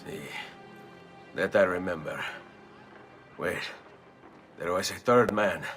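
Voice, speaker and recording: A man's voice: sits low, takes it slowly. An actor playing a brooding, determined young Italian nobleman assassin with an Italian accent in a video game. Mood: weary, urgent. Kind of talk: dramatic video game cutscene acting. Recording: game soundtrack music behind the voice.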